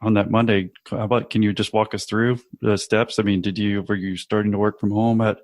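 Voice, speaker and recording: A man with a slightly low voice interviews in a casual podcast style. He is informal and roundabout, often stacking several questions together before he lets the guest answer. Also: little pitch movement, a little fast, darkish tone.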